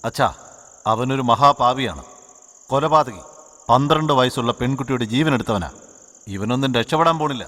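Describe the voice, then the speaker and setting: A man's voice: medium pitch, animated, normal speed, pauses often, a bit bright, in a large hall, lightly acted. A man with a Malayali accent playing a stern, condemning townsman in a cartoon.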